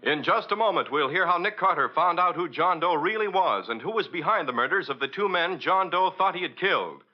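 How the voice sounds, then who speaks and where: A man's voice: a little high, lively intonation, fairly quick, faint music behind. A male announcer reading scripted introductions and sponsor copy in an emphatic, polished 1940s radio style. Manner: polished, emphatic sales delivery. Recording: muffled old radio recording.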